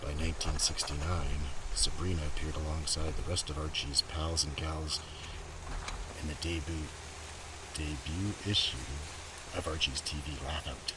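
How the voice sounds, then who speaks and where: A man with an extremely low voice hosts a soft-spoken ASMR show-and-tell. He is hushed and often drops into a whisper. The recording is outdoors, a little away from the mic, with loud background noise. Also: level tone, moderate pace, distant mic. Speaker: ASMR video host. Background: breeze and outdoor ambience.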